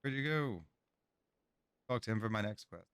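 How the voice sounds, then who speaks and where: A man's voice: on the low side, animated, moderate pace, fairly bright tone, clean tone, no room sound, studio quality. Man casually streaming a game. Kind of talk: casual gameplay commentary and reading aloud.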